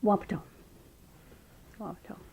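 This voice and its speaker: A woman's voice: warm tone, slight background noise. An older woman, a state representative, unhurriedly recalling her childhood in an oral history interview. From Montana, raised in Eastern Washington. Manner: reflective, unhurried storytelling with frequent restarts.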